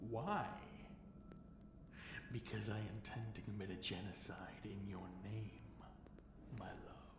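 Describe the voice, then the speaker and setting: A man playing a possessive, seductive fallen dragon king in an intimate ASMR fantasy roleplay, with teasing, commanding delivery and breathy whispered words. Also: lowish voice, fairly even intonation, slow. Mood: commanding, vengeful. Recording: roomy echo, faint background noise.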